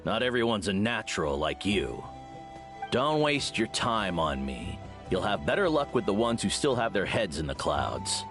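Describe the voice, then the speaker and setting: Chill quitter warrior, lowish voice, lazy shrug in it, game music under. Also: male voice, very animated, moderate pace. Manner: lazy, shrugging and self-deprecating. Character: laid-back, self-mocking young warrior who gave up.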